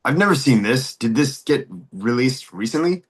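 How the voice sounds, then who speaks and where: Man playing an animated, incredulous male sceptic. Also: medium pitch, moderate pace, breathy edge. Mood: puzzled. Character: Tom, incredulous male sceptic, easily upset audience member. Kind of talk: screenplay table read, reactive dialogue.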